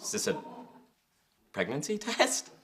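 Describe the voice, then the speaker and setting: A man playing a panicky, childish young husband in a sitcom, excitable and whiny. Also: medium pitch, unhurried, a crowd in the background. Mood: uneasy. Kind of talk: sitcom acting before a studio audience. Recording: loud studio laughter and noise.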